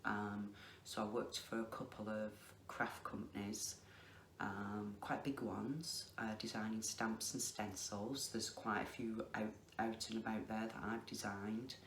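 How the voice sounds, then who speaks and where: A middle-aged woman with a Lancashire accent, chatting casually to camera in a slow, deliberate way with many ums. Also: very deep voice, fairly even intonation. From England. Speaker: craft vlogger. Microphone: facing the camera. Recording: echoey room with faint noise.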